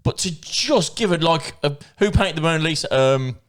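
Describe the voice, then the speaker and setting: A young man with a fairly high, lively voice that leaps up when he gets worked up. He is loud and excitable, swearing freely through casual podcast banter. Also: normal speed. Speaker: podcast co-host.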